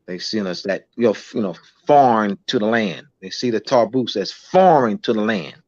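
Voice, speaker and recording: A man, a schoolteacher, talking in a casual interview, halting with many restarts and fillers. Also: fairly low pitch, expressive, a little mellow. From United States. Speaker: schoolteacher guest. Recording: dull lo-fi recording.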